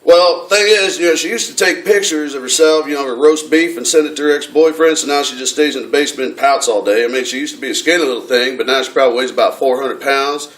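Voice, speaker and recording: A man's voice: mid-range voice, quick pace, hardly any pauses, a bit bright, close to an everyday voice. A man putting on a folksy, rambling redneck with a hesitant drawl for a prank phone call. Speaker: prank caller. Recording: echoey room from far off, noisy.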